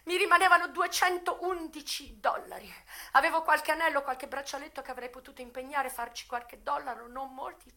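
High-voiced theatrical woman a worldly madam. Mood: subdued, sorrowful. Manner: expressive, with dramatic pauses and emotional swells. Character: worldly American madam recalling her youth, wistful, passionate. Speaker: actress performing a solo radio play. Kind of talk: theatrical dramatic monologue.

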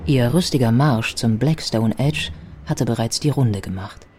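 An actress playing a wry, sober biographer who narrates a life story in a scripted radio drama. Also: female voice, a bass voice, unhurried, noisy background. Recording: prominent music behind the voice.

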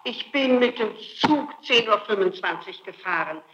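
A woman's voice: medium pitch, lively intonation, a little slow, a bit bright, reverberant, lo-fi sound, slight background noise. A woman being questioned as a suspect, answering with insistent, pleading and emphatic denials on an archival interrogation tape. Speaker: suspect under police interrogation.

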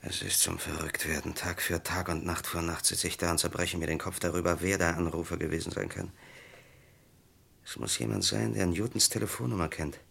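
A man's voice: low-pitched, fairly even intonation, fairly quick, vocal fry, a bit bright, husky, heavily acted. An actor playing a bitter, despairing young man wrongly accused of murder in a vintage radio crime drama, brooding and resigned.

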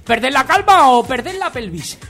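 A man's voice: extremely high pitch, very animated, moderate pace, pushed and bright, faint music behind. A man co-hosting a comedy radio show, joking and laughing as he quizzes a guest. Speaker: radio co-host.

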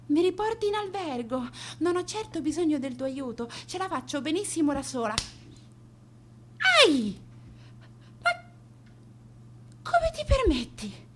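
A woman's voice: high-pitched, big pitch swings, a little slow, pauses often, background noise. A young woman acting a vain, scornful teenage schoolgirl who narrates her days in a theatrical, confiding radio monologue. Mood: indignant. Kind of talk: dramatic radio monologue in present tense.

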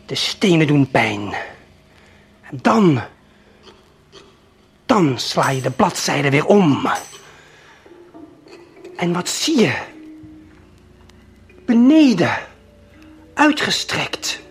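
A man narrating an audio play in the second person, deliberate and suspenseful, speaking straight to the listener. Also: a little high, sing-song, gravelly, husky. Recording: late 1970s vinyl LP production. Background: prominent music.

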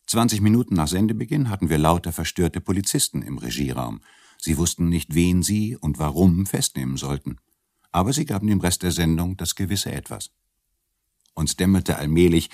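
A man's voice: low-pitched, a little mellow, no room sound, clean studio sound. A man voicing an ironic radio director recalling his broadcast in a documentary. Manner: ironic, wry. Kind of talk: dramatized reading of an interview memoir.

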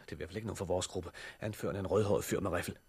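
A man's voice: low-pitched, fairly even intonation, vocal fry, heavy background noise. An actor playing a sighted man who leads and narrates for a group of blind survivors in a 1960s radio drama. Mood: firm, practical.

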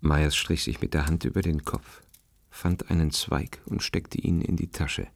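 A man's voice: rumbling low, steady tone, measured pace, vocal fry, dry recording, in a whisper, exaggerated voice. A man narrating a literary radio play as a detached, observant storyteller, with guitar music behind him. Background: plucked guitar music.

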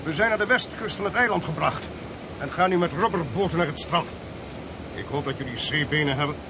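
Guy acting a blunt military intel boss in a radio play, highish creaky voice, clipped orders. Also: brisk, noisy background, lightly acted. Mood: brisk commanding. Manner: clipped, authoritative, dramatic delivery. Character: commanding military intelligence chief, blunt and self-reproaching. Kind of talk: Dutch radio drama acting.

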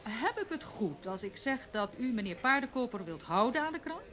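Animated, flustered newspaper woman. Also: on the high side, moderate pace. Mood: puzzled, then shrewd. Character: eager, evasive woman newspaper journalist, Mrs Soeteman. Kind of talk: Dutch radio play acting.

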